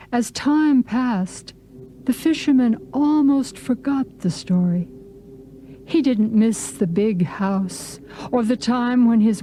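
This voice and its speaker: A woman's voice: on the high side, mellow, slight background noise, rough recording. A woman narrating a folk tale as scripted storybook narration for English learners.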